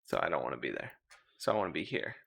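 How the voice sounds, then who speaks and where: A man's voice: very deep voice, very animated, very fast, vocal fry, fairly bright tone, slightly rough. A man talking casually as a player in a tabletop roleplaying game.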